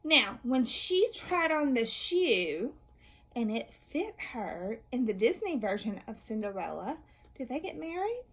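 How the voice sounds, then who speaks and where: A woman's voice: fairly high pitch, sing-song, measured pace, fairly bright tone, husky. A woman teacher reading aloud to young children, asking questions, answering them herself and offering encouragement. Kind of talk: read-aloud and question-and-answer lesson for kids.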